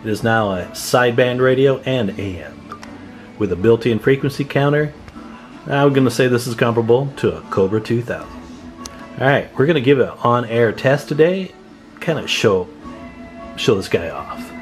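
A man's voice: medium pitch, lively intonation, moderate pace, soft background music. Casual man showing off his gear. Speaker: CB radio hobbyist showing his equipment. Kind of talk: casual hands-on video demonstration. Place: indoor room at home with radio gear. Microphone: handheld camera microphone, speaker off screen.

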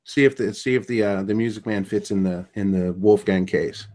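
A man's voice: fairly low pitch, normal speed, a little mellow. A middle-aged man hosting a podcast, chatting casually and joking over a video call from a small home room, trailing off with ums as he goes. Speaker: podcast host. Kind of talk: casual chat. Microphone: webcam on a video call.

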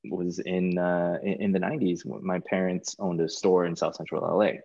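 A middle-aged man with an American accent and a fairly low voice answers as a guest in a casual interview. He speaks informally and haltingly, circling back over words. The sound is a little muffled, as on a video call. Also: level tone, gravelly, a little mellow. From California, United States. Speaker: guest, stage performer. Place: small plain room indoors, video call.

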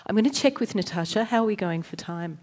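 A woman moderating a panel discussion on an auditorium stage, guiding the talk smoothly. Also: mid-range voice, expressive, fast, darkish tone.

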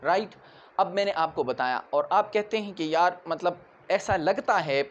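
A man in his twenties with a Pakistani accent, giving an explanatory law lecture, didactically posing questions and answering them himself, switching into English terms. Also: sits high. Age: 20s. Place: indoors, in front of a whiteboard in a classroom.

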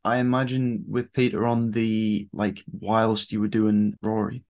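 A man's voice: lowish voice, little pitch movement, measured pace, a little mellow. A British man in his twenties, chatting casually as an interviewer. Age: 20s. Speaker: interviewer, host. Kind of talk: casual chat. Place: bedroom, indoors on a video call.

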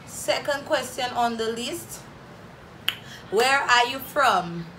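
Slow-talking Saint Lucian woman vlogger. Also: on the high side, animated, background noise. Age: 20s. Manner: chatty, playful, reading questions from paper. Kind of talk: casual Q&A to camera. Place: small room indoors, plain wall. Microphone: camera in front, head-and-shoulders framing.

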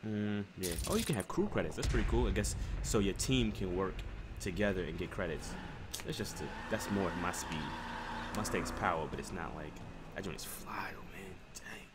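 Deep-voiced American man, slangy gaming chatter. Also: moderate pace. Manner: slangy, rambling, thinking out loud. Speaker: gaming streamer. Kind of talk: casual let's play commentary. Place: room at home. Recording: clearly noisy home webcam stream. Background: car game sounds.

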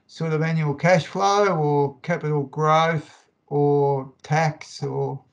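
A man's voice: on the high side, steady tone, slow pace, vocal fry. A man asking a question casually as an audience member on a video call, in a tentative, hedging way.

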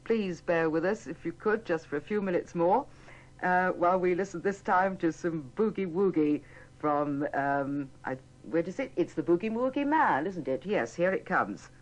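A woman's voice: on the low side, animated, normal speed. A British woman working as a live radio announcer, politely apologetic as she ad-libs her links. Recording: dull archive radio recording.

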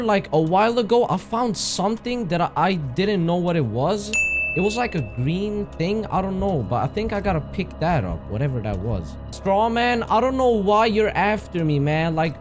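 A young man playing a horror game and commenting into a headset mic in a small room, jumpy and gasping at scares, his voice going hoarse from all the screaming. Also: high voice. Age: young adult. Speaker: gaming streamer.

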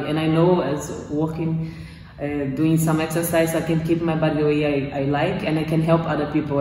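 A woman's voice: low voice, mellow, distant mic, a little hiss or hum. Brazilian-accented woman, echoey gym room. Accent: Brazilian Portuguese. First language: Portuguese. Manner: talks with her hands, searching for English words, many restarts. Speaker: course graduate giving a testimonial. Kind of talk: casual testimonial interview. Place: small gym room, indoors, in front of weight racks. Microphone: far camera.

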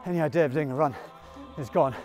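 A British man in his forties talks between gasps while hauling himself up a steep ski slope, his voice sitting a little high. The sound comes from a camera held at arm's length outdoors, and clear music runs underneath the whole time. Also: fast talker, a little mellow. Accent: British English. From Britain. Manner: breathless, exclaiming while climbing. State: out of breath. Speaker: presenter and runner. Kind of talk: casual running vlog. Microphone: action camera held at arm's length.

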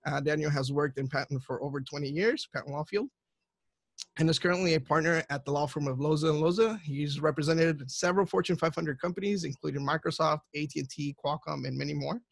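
A man's voice: a little high, brisk, creaky, slightly rough, no echo. A young man hosting a webinar over a headset, reading out a guest's bio with many fillers. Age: young adult. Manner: reads a prepared bio with fillers. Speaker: student host. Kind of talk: webinar introduction.

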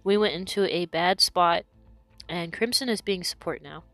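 A woman's voice: lowish voice, moderate pace, fairly bright tone, no room sound, faint music behind. A woman who is sick and losing her voice, explaining her moves as she commentates her own gameplay. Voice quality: hoarse. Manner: explanatory, talks through her decisions.

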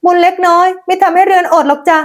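A woman narrator puts on a young village wife from a folk tale. She speaks very high, with a polite, earnest plea in her words, gentle and patient. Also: fairly even intonation, racing. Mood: earnest pleading.

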